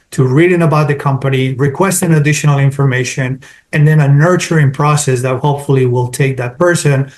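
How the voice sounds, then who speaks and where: A man's voice: medium pitch, a little mellow. A man from Colombia with a Colombian Spanish accent, answering interview questions in an orderly way, laying out his points one by one. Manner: structured, lists points in order. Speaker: digital marketing consultant.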